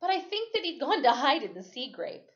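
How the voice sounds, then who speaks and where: A woman's voice: high voice, big pitch swings. Woman reading a story, dull lo-fi sound. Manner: dramatic, deliberate phrasing with acted-out exclamations. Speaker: storyteller reading a children's novel aloud. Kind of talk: expressive first-person story read-aloud. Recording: dull lo-fi recording.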